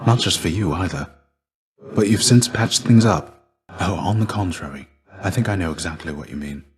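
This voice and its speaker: A man voicing the shade of a legendary warrior in a video game, a gentle mentor who speaks in measured, formal, old-fashioned phrases. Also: low-pitched. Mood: concerned, kindly, then calm, advisory. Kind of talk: scripted game dialogue.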